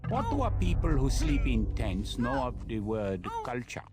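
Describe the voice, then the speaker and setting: A man's voice: mid-range voice, wildly swooping pitch, a little slow. A man playing a haughty, disdainful enemy lord of the Mongols in a dramatic strategy game. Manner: disdainful, pompous. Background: music.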